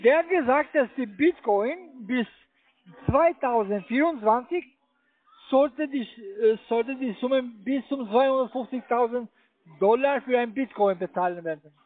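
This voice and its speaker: A very high-voiced man with a foreign accent works through a sales call in halting, fumbling phrases. He is not a native speaker of the language. The sound is a tinny, narrow phone line. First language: not German. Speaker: telephone investment salesman.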